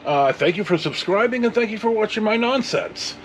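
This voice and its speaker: A man's voice: high voice, lively intonation, fairly quick, slight background noise. A man in his sixties with a Californian American accent, telling a casual, meandering story as host, with digressions and mild swearing. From California, United States. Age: 60s. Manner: meandering, digressive, mildly profane.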